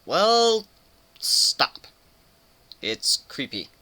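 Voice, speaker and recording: A man's voice: high-pitched, big pitch swings, extremely slow, pushed and bright, voice pushed up, heavily acted. A man playing a grumpy young male pegasus pony who mumbles and protests, in a cartoon fan fiction reading. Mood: wary, indignant.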